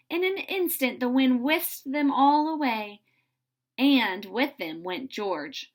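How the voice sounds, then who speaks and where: A woman reading a children's story aloud in an animated, dramatizing way, with pauses for suspense. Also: on the high side.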